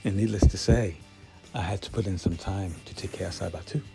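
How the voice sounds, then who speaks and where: Low, gravelly man narrating over music. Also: steady tone, brisk. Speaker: DIY van build vlogger. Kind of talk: voice-over narration of installation steps. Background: background music.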